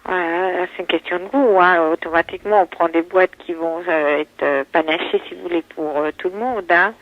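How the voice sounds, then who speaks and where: A woman's voice: lowish voice, steady tone, normal speed, dry recording. A woman working at a pastry shop, talking politely and effusively on the phone with many hesitations. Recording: muffled, band-limited telephone line.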